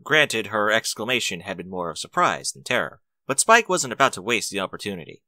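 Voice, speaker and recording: A man reading scripted story narration in an expressive storytelling manner. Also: on the low side, brisk, dry recording.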